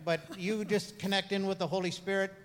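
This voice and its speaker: A man with a high-pitched voice hosts a church service and introduces a guest. He is informal and halting, often repeating phrases. Long hall reverb surrounds his voice. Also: little pitch movement, a bit bright. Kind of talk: informal spoken introduction to a congregation. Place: large room, church service.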